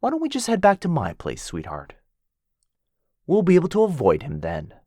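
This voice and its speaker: A man's voice: fairly high pitch, wildly swooping pitch, normal speed, a little mellow, no room sound, clean studio sound. Otto, a man voicing a cheesy flirty werewolf. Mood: playful.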